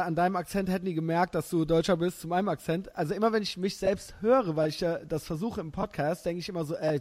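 A German man in his thirties hosting a podcast, chatting casually and telling animated, slangy stories with frequent laughter. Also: sits high. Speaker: podcast host. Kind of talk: casual chat.

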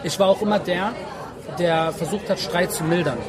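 A man's voice: sits high, moderate pace. A man, telling his own story casually in slangy, emphatic speech, repeating phrases for stress. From Berlin, Germany. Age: 40s. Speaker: interview guest. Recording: music and crowd noise behind.

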